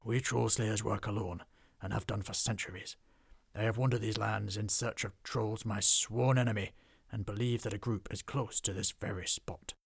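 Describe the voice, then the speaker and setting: Fierce burly dwarf troll slayer, storybook, menacing, slow, gravelly, low, man doing it. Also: fairly even intonation, dry recording, studio quality.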